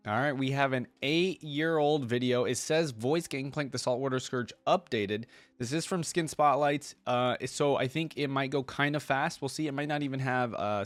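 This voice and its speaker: Guy doing laid out reaction commentary, informal and rambly, average pitch, no room echo. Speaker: reaction video host.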